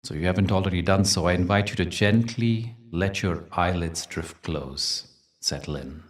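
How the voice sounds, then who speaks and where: Male meditation guide, low-pitched, gentle and deliberate storyteller, miked from slightly far off. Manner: gentle, deliberate, soothing delivery with dramatic pauses.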